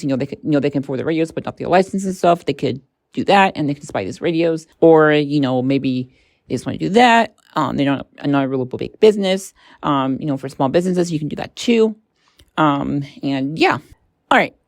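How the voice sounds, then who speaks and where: A woman with a low-pitched voice hosts a casual gadget review. She talks in a hesitant, rambling way, feeling out each point as she goes. The sound is dry and close, with very little room in it. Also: gravelly, darkish tone, dry recording. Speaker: product reviewer and channel host. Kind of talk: casual tech review vlog.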